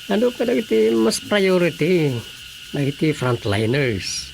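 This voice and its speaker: A man's voice: high-pitched, very animated, unhurried, gravelly, a little hiss or hum, full character voice. A man with a Filipino accent playing a sensible village man who reasons patiently, in radio drama style. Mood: reasoning.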